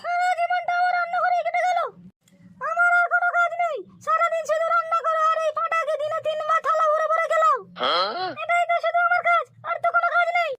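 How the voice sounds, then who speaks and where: Shrill, squeaky nagging cartoon mother done by a man. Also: completely flat delivery, fast. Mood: exasperated, scolding. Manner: complaining, nagging. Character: grumbling overworked mother scolding her idle son. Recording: very noisy, distant-sounding cartoon dub.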